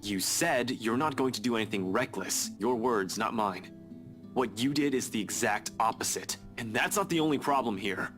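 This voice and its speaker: A stern young man played by a male actor in a visual novel drama, speaking in a low voice. He chides in a serious, exasperated way over soft background music. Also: normal speed, close to an everyday voice. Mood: stern, irate. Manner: chiding, serious.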